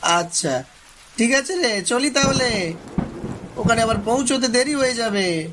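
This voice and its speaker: A man's voice: very high voice, lively intonation, measured pace, noisy background, voice pushed up. A man voicing a friendly married village man in a cartoon, with a folksy manner. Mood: hurried, friendly. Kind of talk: cartoon voice acting.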